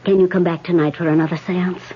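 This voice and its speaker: An actress playing a calm spirit medium leading a seance, often speaking in a hush, in an old radio drama. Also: female voice, on the low side, fast, slight background noise, lightly acted. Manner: calm, hushed, often whispering. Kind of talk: 1970s radio drama acting. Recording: dull old radio broadcast.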